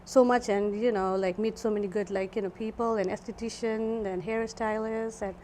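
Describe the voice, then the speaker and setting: A woman's voice: mid-range voice, fairly even intonation, normal speed, mellow, slight background noise. A woman in her twenties from Nepal with a Nepali accent, chatting as a guest esthetician and filling her talk with "like" and "you know". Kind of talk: casual interview chat.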